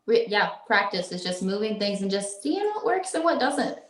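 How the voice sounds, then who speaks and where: A woman's voice: medium pitch, big pitch swings, moderate pace, reverberant. A young woman co-hosting a video call interview, speaking casually and encouragingly, with a lot of "like" in her sentences. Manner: encouraging, says "like" often. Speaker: co-host. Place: home room.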